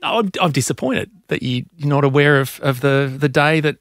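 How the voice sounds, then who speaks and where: A man's voice: on the high side, fairly bright tone, no echo, studio quality. A man filling in as a radio co-host, joking and rambling with stops and restarts. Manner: jokey, rambling, halting. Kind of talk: casual radio banter.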